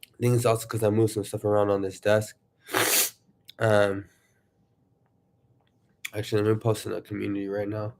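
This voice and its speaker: A man casually chatting to viewers on his livestream, rambling and excitable, sniffling from allergies. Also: on the low side, moderate pace, vocal fry, pauses often, noisy background. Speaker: YouTuber livestreaming.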